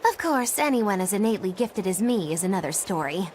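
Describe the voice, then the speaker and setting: A woman's voice: medium pitch, lively intonation, a little fast, darkish tone, a little hiss or hum, lightly acted. A woman playing a smug, flamboyant young witch in a fantasy video game, speaking dramatically. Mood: smug, knowing. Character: theatrical, smug, gifted young female witch. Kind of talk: animated fantasy game acting.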